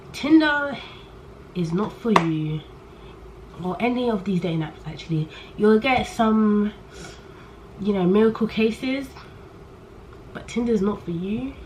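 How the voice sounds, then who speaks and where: A young woman in her late teens, chatting candidly to camera in a rambling way. She talks loudly on purpose and keeps trailing off and restarting her sentences. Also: mid-range voice. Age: young adult. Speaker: vlogger. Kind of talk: casual chat to camera. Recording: poor audio with faint background noise.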